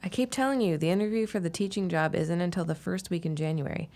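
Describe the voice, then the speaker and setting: A stubborn, quick-tongued young woman of a period radio drama, acted by a woman. Her voice sits on the low side with plenty of fry, and her lines come out dry and sardonic. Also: warm tone, natural voice. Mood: exasperated, sardonic. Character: wry, stubborn young shopkeeper's daughter and out-of-work teacher. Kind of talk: period radio-style audio drama acting.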